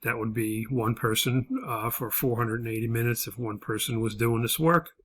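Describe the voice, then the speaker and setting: A man instructing through an unscripted spreadsheet walkthrough, explaining things in a halting, matter-of-fact way. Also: mid-range voice, fairly even intonation, fairly bright tone, slightly rough.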